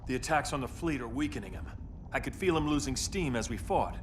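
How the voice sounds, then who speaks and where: A man's voice: medium pitch, lively intonation, brisk, slight background noise, lightly acted. An actor playing a resolute, noble male superhero in a video game, earnest and determined. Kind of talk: cinematic video game hero acting.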